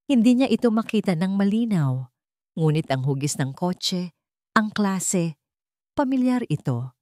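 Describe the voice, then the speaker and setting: Woman reading a dramatic story, kinda low voice, suspenseful n measured, dry studio sound. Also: a little mellow, no echo. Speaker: audio drama narrator. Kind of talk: dramatic scripted story narration.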